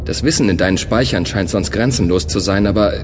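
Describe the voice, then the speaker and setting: A man playing a space traveler in an audio drama, narrating in the first person and talking to his ship's computer in a calm, measured, inquisitive way. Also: low voice, noisy background, natural voice. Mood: curious. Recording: music underscore behind voice.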